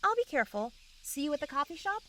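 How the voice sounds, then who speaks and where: High, animated, sweet-talking young woman actress. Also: fast talker, full character voice. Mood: pleased. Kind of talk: comedic fantasy audio drama acting.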